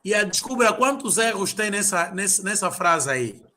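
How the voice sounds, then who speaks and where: Guy counting one on one, high bright voice, slow and patient. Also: animated. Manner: patient, repeats words slowly and drills. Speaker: language tutor. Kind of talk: informal one-on-one lesson.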